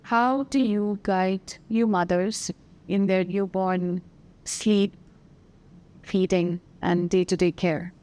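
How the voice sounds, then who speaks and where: A woman hosting a podcast, reading her introduction and questions in a smooth, clear way. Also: mid-range voice, fairly even intonation, slow pace, darkish tone. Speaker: podcast host. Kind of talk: scripted interview questions.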